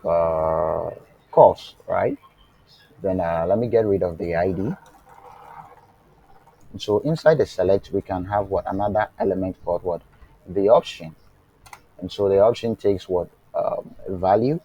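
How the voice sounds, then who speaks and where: A man with a low, mellow voice teaches coding to a live online class. He speaks slowly and deliberately and keeps asking rhetorical questions. The sound is a bit dull and lo-fi. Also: husky. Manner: asks rhetorical "what?" questions and lets the class fill in the answer; checks on the class with "are you getting it?". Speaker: web development instructor. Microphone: remote class, voice faint at times.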